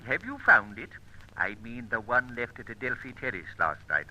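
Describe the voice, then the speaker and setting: An actor playing a suave, witty British gentleman outlaw in an old radio detective drama, wordy and mock-courteous. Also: mid-range voice, big pitch swings, normal speed, full character voice, poor audio. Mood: teasing, suave.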